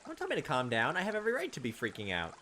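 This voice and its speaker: A man's voice: fairly high pitch, wildly swooping pitch, rapid-fire, bright, forceful tone, a little hiss or hum. A man doing a visual novel let's play, reading aloud expressively and adding sarcastic asides. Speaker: let's player.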